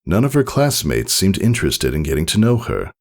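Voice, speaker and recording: A man reading a story aloud as scripted narration, in an expressive storytelling manner. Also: low-pitched, fairly quick. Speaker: narrator reading fan fiction. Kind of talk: scripted audiobook-style narration.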